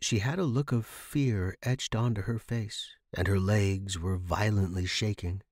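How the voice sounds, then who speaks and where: A man's voice: fairly low pitch, unhurried, darkish tone, dry recording, clean studio sound. A man reading scripted first-person suspense fiction as a podcast narrator.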